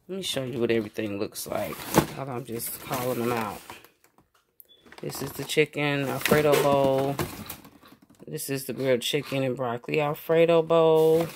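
A woman vlogger chatting casually and enthusiastically to viewers about groceries in a folksy way, recorded on a handheld camera in a home kitchen. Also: low voice. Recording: clear background noise, crinkling packaging.

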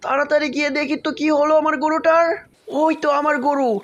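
Protective superhero, kids' cartoon, male actor: high-pitched, bold, commanding, ready to threaten. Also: level tone, normal speed, highs cut off. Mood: alarmed. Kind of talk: children's superhero cartoon voice acting.

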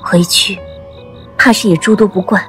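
A woman playing a reserved young martial disciple in an audiobook drama, gentle, hesitant and introspective. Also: mid-range voice, fairly even intonation, normal speed, faint music behind, lightly acted. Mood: wistful, troubled. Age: young adult.

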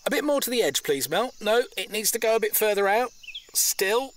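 A man's voice: high voice, animated, moderate pace, a little hiss or hum. A man playing a mild railway surveyor in an audio drama. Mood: mild, coaxing.

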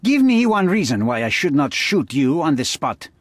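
A middle-aged male crime boss in a game drama, haughty and menacing. His voice is husky and moves widely in pitch, formal and imperious. It sounds close and dry. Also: very animated, moderate pace, dry recording. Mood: threatening, haughty. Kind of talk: dramatic video game acting.

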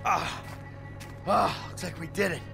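A man's voice: sits high, steady tone, measured pace, creaky, heavily acted. A seasoned, middle-aged criminal in a game cutscene. He is cynical and dry, taking charge in a slightly raised voice. Music runs behind the dialogue. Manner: dry, matter-of-fact. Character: cynical middle-aged male career criminal, calm leader. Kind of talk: video game cutscene acting.

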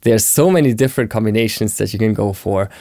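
A man in his thirties hosting a software tutorial, explaining conversationally in a small home studio on a close mic. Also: lowish voice, fast, dry recording. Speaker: tutorial host.

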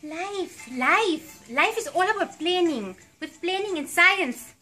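A woman's voice: extremely high pitch, lively intonation, takes it slowly, bright, forceful tone, soft background music, exaggerated voice. A woman playing a confident, emphatic puppet character who speaks in declarations in an amateur puppet show. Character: confident puppet champion of science and planning.

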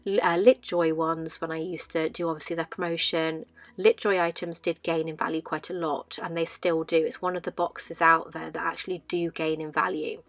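A woman's voice: low voice, little pitch movement, normal speed, no echo. A woman in her thirties giving a casual, rambling voice-over, often repeating herself for emphasis. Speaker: vlogger narrating a collection clean-up. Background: music.

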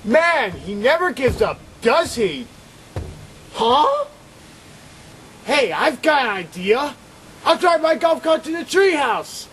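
Man, mischievous excitable hero, theatrical exclamations, swooping pitch, amateur comedy film, faintly noisy recording. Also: takes it slowly, long pauses. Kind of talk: exaggerated comedic acting in amateur short film.

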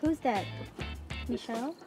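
A woman with a fairly high, very animated voice speaks slowly and casually. She offers brief curious questions and reactions, with music behind her. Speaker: vlogger behind the camera. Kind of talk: casual chat. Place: on a catamaran deck outdoors.

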